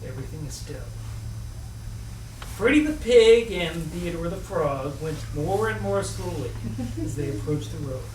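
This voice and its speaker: A man's voice: fairly high pitch, unhurried. Playful man reading aloud in echoey room. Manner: expressive, playful. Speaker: reader at a live book reading. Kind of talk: storybook narration with chatty asides. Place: indoor room at a live reading. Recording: echoey room, distant mic, faint noise.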